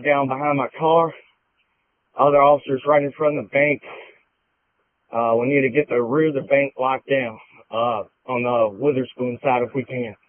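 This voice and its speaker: A man's voice: medium pitch, steady tone, normal speed, dry recording. Urgent male cop on tinny radio. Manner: urgent, terse commands, repeats himself. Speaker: police officer at an emergency scene. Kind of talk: urgent police radio traffic. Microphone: handheld police radio. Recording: tinny band-limited police radio.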